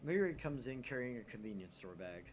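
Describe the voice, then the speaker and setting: A man's voice: medium pitch, very animated, brisk. A man reading visual novel narration aloud expressively as he plays, with some background noise. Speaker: video game player reading aloud.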